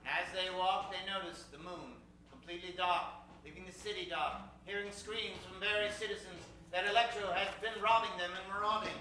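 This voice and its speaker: Male announcer, dramatic serial narration, very high forceful voice, strong echo, faint noise. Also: steady tone, fairly quick. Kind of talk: dramatic improvised old-time radio narration. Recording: very echoey stage, distant mic, faint noise.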